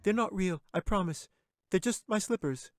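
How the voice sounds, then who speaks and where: A man's voice: sits high, animated, normal speed, no room sound, voice pushed up. A man voicing a polite, bewildered young girl in a storybook reading, in a raised, earnest voice. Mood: anxious. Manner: timid and earnest.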